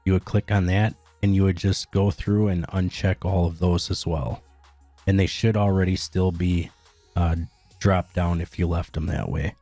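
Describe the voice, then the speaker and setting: Male tutorial narrator, very low and mellow, plain instructional delivery. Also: fairly even intonation, moderate pace, dry recording, soft background music. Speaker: tutorial host. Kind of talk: step-by-step software tutorial narration.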